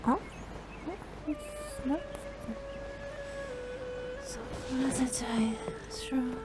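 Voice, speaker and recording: A woman gaming creator giving casual gameplay commentary in a halting, hesitant way, with game music behind her. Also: high voice, wildly swooping pitch.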